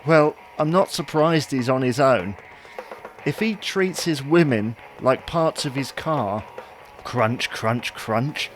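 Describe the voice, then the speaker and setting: A man's voice: medium pitch, slow pace, faint music behind, close to an everyday voice. A man playing a chatty, fussy, wryly puzzled husband in an audio sitcom. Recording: noticeable background noise.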